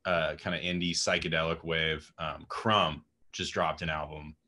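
A young man in his twenties with a raspy voice, chatting casually as a guest musician on a video call, rambling with lots of fillers. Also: extremely low pitch, flat delivery, moderate pace, sharp tone. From Austin, Texas. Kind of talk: casual interview chat. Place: home room with music gear. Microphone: video call webcam.

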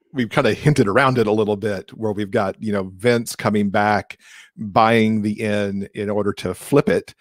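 A man's voice: lowish voice, no echo. A middle-aged man hosting a casual interview, asking questions into a close microphone. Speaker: podcast co-host, interviewer. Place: indoor room, on a video call. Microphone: close podcast microphone.

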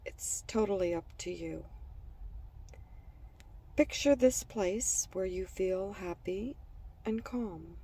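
A woman narrating a scripted guided relaxation for children in a gentle, soothing manner, leaving pauses between phrases. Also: lowish voice, recorded from a distance.

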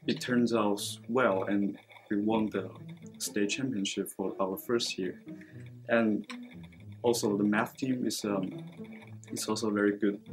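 A young man talks earnestly in a sit-down interview in a school library. His voice sits fairly low, and he leans on "you know" as a filler. Soft music plays underneath. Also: low-quality recording. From China. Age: late teens. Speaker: student. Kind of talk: interview testimonial. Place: school library with bookshelves, indoors. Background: soft background music.

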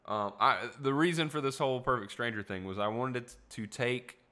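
A man's voice: medium pitch, moderate pace, sharp tone. A young man hosting a casual solo podcast in a small room at home, talking in a rambling, reflective way with many fillers, his voice a little tired. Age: young adult. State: voice tiring. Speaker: podcast host. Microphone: studio mic in front of him.

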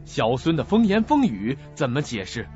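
Animated male suspense narrator. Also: mid-range voice, moderate pace. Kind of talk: dramatic suspense story narration. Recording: muffled lo-fi audio with music.

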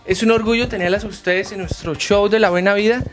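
A young man hosting an interview in a living room, asking slow questions full of restarts. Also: high-pitched, fairly even intonation, soft background music. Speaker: host.

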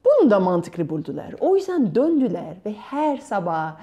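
A woman in her thirties telling a children's tale in an expressive, theatrical way, with drawn-out words and sound effects. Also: medium pitch. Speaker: storyteller. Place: bright room at home.

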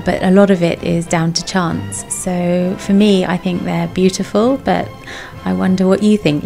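A woman's voice: on the low side, creaky, dark tone. A woman in her thirties giving a chatty voice-over for her vlog. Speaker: vlogger. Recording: background music. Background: music.

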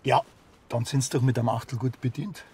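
An actor playing a gruff, sardonic, foul-mouthed small-town policeman in a TV crime comedy. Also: mid-range voice, fairly even intonation, brisk, dark tone. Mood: prompting.

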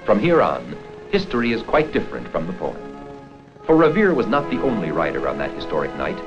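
Lively male narrator on dull old film soundtrack. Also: low-pitched, fairly quick, music in the background. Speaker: narrator of an educational history film. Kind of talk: scripted documentary narration.

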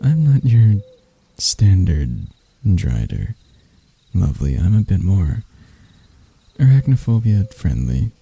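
A man voicing a sadistic, seductive male spider-elf predator in intimate ASMR roleplay, breathy and teasing with his endearments. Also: low-pitched, big pitch swings, dark tone, exaggerated voice. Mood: amused menace. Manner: slow, teasing, purring endearments.

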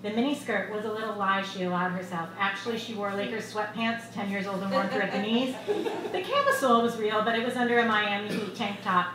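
A middle-aged woman reading her own short fiction aloud at a live event, in a measured, expressive storytelling delivery. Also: medium pitch, crowd noise. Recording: echoey bar room with loud background noise.

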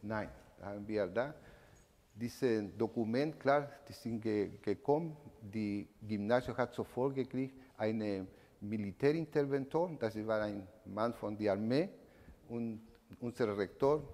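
An evening of remembrance in an echoing hall: at the lectern, a low-voiced man in his sixties, native Spanish speaker with a Chilean accent, haltingly tells his own story. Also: a little mellow. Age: 60s. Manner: halting, searching for words in non-native speech. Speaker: eyewitness speaker giving a testimony. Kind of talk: personal testimony talk to an audience. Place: indoor event room, standing at a lectern. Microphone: microphone on a stand. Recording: reverberant hall.

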